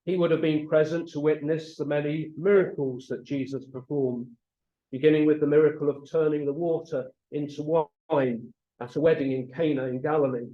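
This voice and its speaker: A man preaching a sermon, explaining and retelling in a measured way. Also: on the high side, steady tone, mellow. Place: church meeting room, also streamed online. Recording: echoey room, slightly muffled sound.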